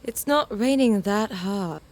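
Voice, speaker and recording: A woman's voice: medium pitch, lively intonation, slow pace, darkish tone. An actress playing a reserved, dry office worker in her thirties, in a naturalistic audio drama. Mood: nonchalant. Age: 30s.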